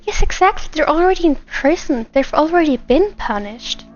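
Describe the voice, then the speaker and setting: A woman game streamer with a high voice reacts casually and excitedly to the story. The audio is a bit dull, with soft game music behind her. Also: normal speed. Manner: excitable, egging the characters on. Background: game music and dialogue.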